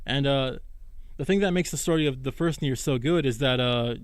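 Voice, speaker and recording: A man's voice: medium pitch, moderate pace, clean tone, dry recording. A young man hosting a casual chat, explaining his opinions with frequent restarts. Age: young adult. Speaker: podcast host on college radio. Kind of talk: casual chat about games and films. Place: college radio studio.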